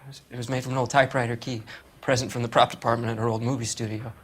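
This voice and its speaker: A man's voice: medium pitch, flat delivery, rapid-fire, fairly bright tone, slightly rough. An American man voicing an anxious, defensive working man questioned by police in a crime game, nervous and hesitant. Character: anxious, defensive male bowling alley worker suspect. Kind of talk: video game voice acting, 1940s crime drama.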